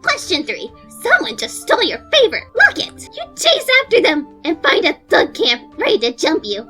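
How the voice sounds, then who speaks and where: A woman's voice: extremely high pitch, huge pitch swings, moderate pace, bright, forceful tone, soft background music, heavily acted. A woman playing a gleefully menacing, grandiose royal executioner in a cartoon skit, with a pompous, theatrical, mocking delivery. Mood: menacing, haughty. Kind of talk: hobby animated skit voice acting.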